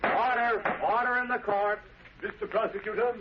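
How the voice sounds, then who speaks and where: An actor playing a stern, formal, oratorical courtroom judge in a 1930s radio drama. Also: male voice, high voice, steady tone, moderate pace, natural voice. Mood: stern, solemn. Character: stern, formal male courtroom judge, regretful but unbending. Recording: muffled, noisy 1930s radio recording.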